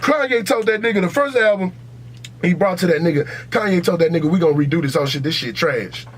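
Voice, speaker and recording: A man's voice: high voice, fast talker. An American man co-hosting a podcast, debating rap in a blunt, slangy, profane way and often repeating himself to push his point. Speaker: podcast co-host. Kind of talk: heated casual debate about rap music. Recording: noisy podcast audio with hum.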